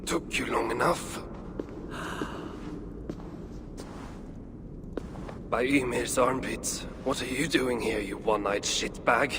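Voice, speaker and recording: A gruff old dwarf played by a man in a video game, crude and sardonic, speaking at a middling pitch. He grumbles and banters with wry ill temper, over faint background noise. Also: fairly even intonation, unhurried, close to an everyday voice. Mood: grumpy, scornful. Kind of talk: video game cutscene acting.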